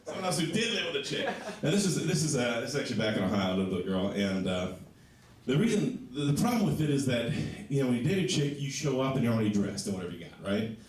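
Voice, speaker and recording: A man with a low voice doing a stand-up comedy set in a small comedy club, telling stories with lively, animated delivery. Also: fast. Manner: animated storytelling, voices for others. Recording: echoey club sound from a distant mic.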